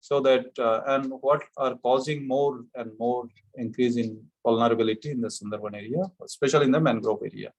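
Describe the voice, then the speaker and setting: A man with an Indian English accent speaking formally and haltingly, with many fillers, in an online training Q&A. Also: mid-range voice, fairly even intonation, a little mellow, rough recording. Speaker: training participant. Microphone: video call.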